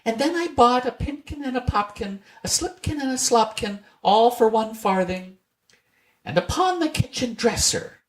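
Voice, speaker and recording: A tiny, merry storybook mouse, acted out by an adult narrator, pitched far up into a small twittering voice. The delivery is singsong and cheeky, like nursery rhymes being chanted. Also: expressive, moderate pace, natural voice. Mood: cheeky, teasing. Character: chorus of tiny merry, cheeky little mice singing rhymes.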